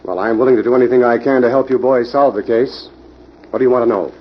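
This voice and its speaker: An actor playing a self-assured, cooperative logging company owner with an air of authority in an old-time radio drama. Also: male voice, mid-range voice, fast talker, close to an everyday voice. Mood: welcoming. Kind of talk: 1940s radio acting. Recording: muffled, faintly hissy old radio recording.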